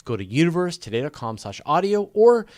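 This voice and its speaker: A man's voice: on the high side, big pitch swings, fast, a little mellow, dry recording, clean studio sound. A man hosting a casual live question-and-answer show, talking in an informal, joking, conversational way. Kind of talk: casual live Q&A chat with viewers.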